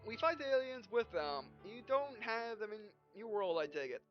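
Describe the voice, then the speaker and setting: A woman doing let's play commentary, reading game dialogue aloud in a halting way and often correcting herself. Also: a little high, expressive. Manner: halting, stumbling read-aloud with frequent self-corrections. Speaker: gaming video host. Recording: dull, muffled lo-fi microphone. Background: game music.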